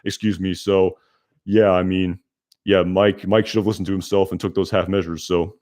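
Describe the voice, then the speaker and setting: A young man hosting a casual live stream, reviewing a TV episode and answering chat, with a loud voice and a rambling way of talking full of fillers and restarts. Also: deep voice, little pitch movement, moderate pace.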